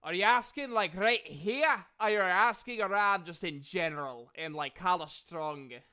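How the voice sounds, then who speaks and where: A chatty little gnome shopkeeper from a fantasy tabletop game, acted out by a man. The voice is raised high and rings out bright and clear. He rambles hesitantly, as if checking what he was asked. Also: lively intonation, a little slow, full character voice. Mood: questioning.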